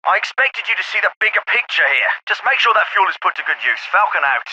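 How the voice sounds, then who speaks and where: A man's voice: sits high, big pitch swings, fast talker, pushed and bright, breathy edge, no room sound, muffled recording, full character voice. A man with a British accent voicing a terse, calculating special forces officer in a video game, clipped and authoritative, through a radio filter. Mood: exasperated. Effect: radio filter on many lines. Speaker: squad commander giving orders.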